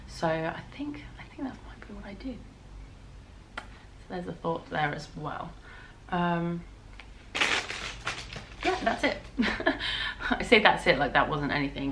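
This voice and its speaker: A woman with a British accent and a hoarse voice, chatting casually and ramblingly as a knitting vlogger. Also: on the low side, a bit bright, a little hiss or hum, low-quality recording. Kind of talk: casual vlog chat.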